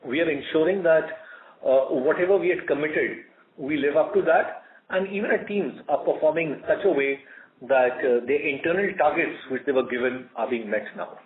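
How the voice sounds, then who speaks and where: Indian male executive, somewhat high voice, measured emphatic answers in short phrases, thin conference-line sound. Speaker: company executive answering analysts. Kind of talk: earnings call answers. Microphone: conference call line. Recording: muffled narrowband conference call audio.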